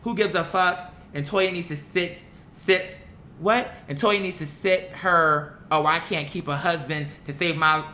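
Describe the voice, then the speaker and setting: A woman's voice: on the low side, a little slow, bright, forceful tone, slight background noise. A woman giving a casual, opinionated commentary rant, blunt, profane and indignant, reading comments aloud and repeating phrases for emphasis. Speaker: online commentator reacting to viewer comments. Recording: echoey, roomy sound.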